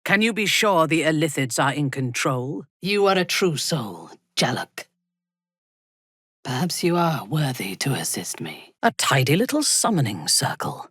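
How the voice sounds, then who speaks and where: An actress playing a ruthless, haughty dark elf warrior in a video game, cold, commanding and menacing in her measured delivery. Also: rumbling low, husky, no room sound, studio quality, heavily acted. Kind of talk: video game character voice acting.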